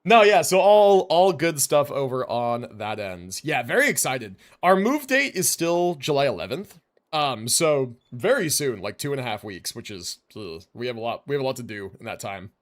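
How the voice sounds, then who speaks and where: A man's voice: medium pitch, sing-song, moderate pace. A man chatting casually and informally as he livestreams a game, rambling in slangy speech. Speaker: live streamer. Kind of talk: casual chat while livestreaming gameplay.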